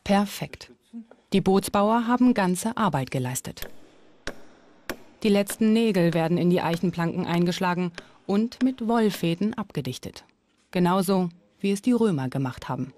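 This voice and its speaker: A woman's voice: fairly low pitch, animated, normal speed, a little mellow, a little hiss or hum. A woman reading scripted documentary narration. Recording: music under the voice. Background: background music.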